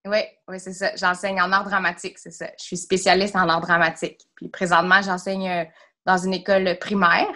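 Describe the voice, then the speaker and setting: A woman in her thirties with a Quebec French accent and a lowish voice. She talks in a friendly, easy way, with light laughs between her answers. She is heard through a laptop on a video call from a room at home. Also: flat delivery, a little fast, bright, forceful tone. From Sherbrooke, Quebec. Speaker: drama teacher. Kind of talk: casual video-call interview. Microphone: laptop camera on a video call.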